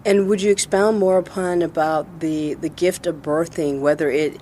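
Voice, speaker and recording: An American woman hosting an interview, putting questions in measured, short phrases. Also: low-pitched, fairly even intonation, warm tone, a little hiss or hum. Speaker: interview show host.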